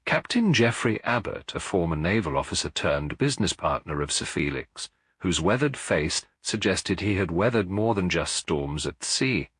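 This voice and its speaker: A man reading a scripted mystery story as an audiobook narrator, in a measured, formal, dramatic storytelling manner. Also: sits low, sing-song, a bit bright, breathy edge, dry recording.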